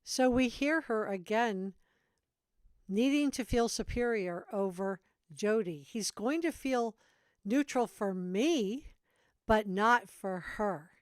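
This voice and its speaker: A woman's voice: medium pitch, takes it slowly, no echo. A woman host giving analytical commentary on clips. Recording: music bed behind voice. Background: background music.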